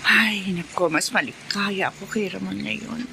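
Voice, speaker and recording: Flighty pleased-with-herself lover, gleeful, creaky, noisy background, radio drama. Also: female voice, medium pitch, measured pace. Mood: smug, gleeful. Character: flighty, self-satisfied, amorous woman, unrepentant deserter.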